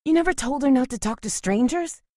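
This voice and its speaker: A woman's voice: sits high, animated, brisk, mellow, no room sound, studio quality. A woman acting a tough, distrustful and protective survivor in a dramatic video game, blunt, sardonic and guarded. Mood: suspicious, sharp.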